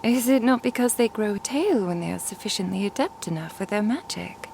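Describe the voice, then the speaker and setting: A woman playing a curious, composed traveling merchant and master in an ASMR roleplay, speaking in a measured, formal, inquisitive way. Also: mid-range voice, animated, creaky, a little mellow, slight background noise. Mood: composed, probing. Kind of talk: ASMR roleplay audio drama.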